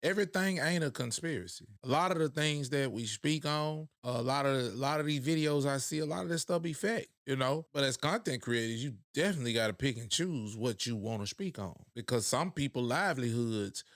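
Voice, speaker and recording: Man, hoarse, reaction host, casual slangy talk, dry close sound. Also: medium pitch, animated, fairly quick, hardly any pauses, a bit bright, dry recording. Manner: loose, conversational, slangy. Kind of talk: casual commentary.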